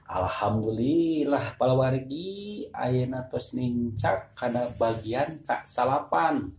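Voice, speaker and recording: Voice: mid-range voice, expressive, slow pace, a little mellow. A storyteller with a Sundanese accent, politely addressing listeners and narrating a folk tale. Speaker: storyteller and channel host. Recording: echoey voice over clear background music. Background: background music.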